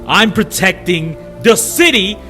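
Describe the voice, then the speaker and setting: Audio drama hero male actor: high, sharp, heated and distrustful, hugely animated delivery. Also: a little slow, soft background music, full character voice. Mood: furious. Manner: forceful, heated. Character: furious, distrustful male hero protecting his city.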